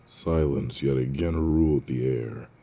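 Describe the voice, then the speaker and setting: A man narrating a fantasy audio drama in a measured, solemn storytelling manner. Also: very deep voice, creaky, warm tone.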